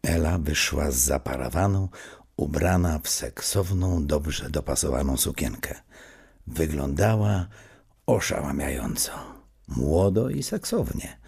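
A man playing the wry storyteller of a comedy audio play, reading scripted narration in a gravelly voice. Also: rumbling low, lively intonation, measured pace, a little mellow, exaggerated voice.

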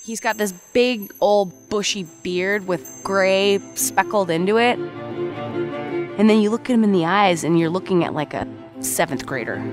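A woman's voice: medium pitch, moderate pace. A woman casually telling an anecdote about someone, as in an interview. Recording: music behind, with fiddle.

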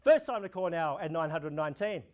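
A young man with an Australian accent, an auctioneer running a practice auction, calling bids in a steady repeating rhythm. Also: fairly high pitch, a little fast. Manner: rhythmic, repetitive bid calling, gestures while talking. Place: indoor room before a projection screen.

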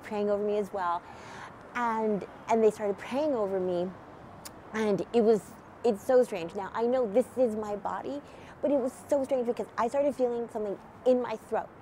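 Middle-aged woman talking outdoors, lively and gesturing, little cold in her nose, faint street sounds behind. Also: medium pitch, slight background noise. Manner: animated, many fillers, gesturing as she talks. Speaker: nonprofit founder. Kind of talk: casual testimony to camera. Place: outdoors at a church event, near a street.

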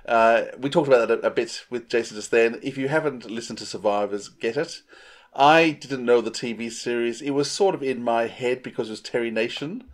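A middle-aged man with a medium-pitched voice chats as a podcast co-host. He reviews things with enthusiasm and emphasis, in an informal way, over a video call. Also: a little fast. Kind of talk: casual chat, enthusiastic reviewing. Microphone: earphones on a video call.

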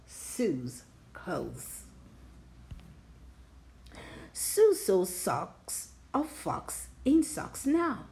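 Female storybook reader, very animated, medium pitch, rhythmic rhyming read-aloud with expressive articulation. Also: very slow, long pauses.

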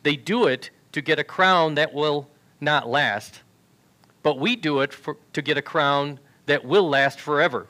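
A man's voice: fairly high pitch, measured pace, bright, forceful tone. A man in his sixties preaching a sermon in a church sanctuary, reading scripture aloud through a headset mic. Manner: earnest preaching, reads scripture aloud from a tablet. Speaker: pastor. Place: large church sanctuary with choir risers.

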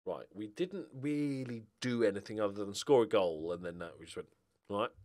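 A man's voice: on the low side, animated, normal speed, a little mellow. A middle-aged man giving casual, sweary commentary on a football manager game, talking to his players and viewers into a close mic. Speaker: gaming streamer. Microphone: close desk microphone.

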